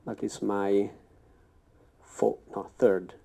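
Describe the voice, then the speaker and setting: Slow, gravelly, dark-voiced man. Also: lowish voice, little pitch movement. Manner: slow, hesitant, searching for words. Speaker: actor, director and producer. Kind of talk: panel self-introduction.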